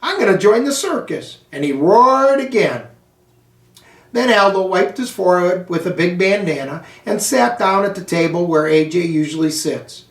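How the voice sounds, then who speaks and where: Distant, echoey man reading a lively children's story. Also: high voice, moderate pace. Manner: theatrical, dramatic emphasis on action words. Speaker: school superintendent reading aloud to pupils. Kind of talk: expressive children's storybook narration. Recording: roomy echo, distant microphone.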